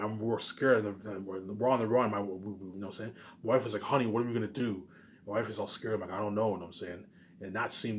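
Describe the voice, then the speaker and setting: A man's voice: on the low side, slight background noise. A man in a rambling casual monologue, boastful and repetitive, with emphatic bursts that trail off. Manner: boastful, self-assured.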